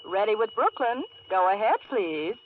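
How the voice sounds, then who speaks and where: A woman playing a brisk, formal telephone operator in a 1940s radio drama, clipped singsong patter through a telephone filter. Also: mid-range voice, animated. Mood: brisk, impersonal. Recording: tinny, noisy old telephone-like radio recording.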